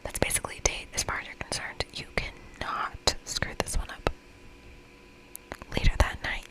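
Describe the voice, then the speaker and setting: A woman whispering in a playful, expressive ASMR style as she reads aloud and comments on a game. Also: low-quality recording. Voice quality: whispered, breathy. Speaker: ASMR creator playing through a visual novel game.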